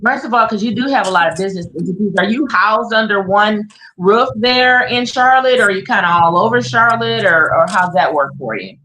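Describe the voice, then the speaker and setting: A woman hosts an interview, affirming her guest before leading into her next question. She speaks at a medium pitch in an encouraging, supportive manner. Her voice carries a noticeable room echo. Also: fairly quick, bright, forceful tone. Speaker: host. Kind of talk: interview host. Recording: roomy echo.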